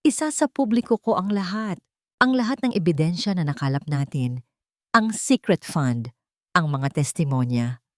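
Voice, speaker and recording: A woman's voice: lowish voice, expressive, moderate pace, dry recording, natural voice. A woman plays an earnest, defiant company boss, a man of firm conviction. The lines are declarative storybook drama, recorded very dry. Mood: determined.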